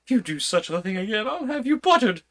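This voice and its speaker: Storybook read-aloud: man playing a fussy old king, high-pitched and exclaiming, bright tone. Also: very animated, fast talker, exaggerated voice.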